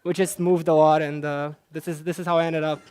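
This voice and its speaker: A man's voice: fairly high pitch, level tone, a little fast, darkish tone, smooth. A young man from Central Asia, a native Russian speaker, giving a chatty conference presentation with many fillers and restarts. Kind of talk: presentation talk.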